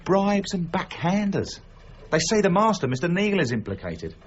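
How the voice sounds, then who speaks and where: An actor playing a cocky, smooth-talking con man who flatters and schemes in a period radio drama. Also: high-pitched, normal speed, muffled recording. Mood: flattering, oily.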